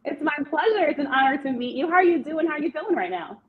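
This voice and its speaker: An excited, upbeat woman hosting a chatty live sports talk show, her voice a little worn. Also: quick pace. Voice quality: voice worn and deeper than usual. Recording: slightly dull streamed audio.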